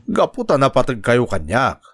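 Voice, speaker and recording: A man's voice: mid-range voice, wildly swooping pitch, normal speed, slightly rough, no echo, clean studio sound, soft background music. A man playing an irresponsible, gambling, hard-drinking father in an Ilocano audio drama, with an Ilocano accent. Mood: subdued, tender. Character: gruff, irresponsible gambling drunkard father and husband. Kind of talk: Ilocano radio-style drama acting.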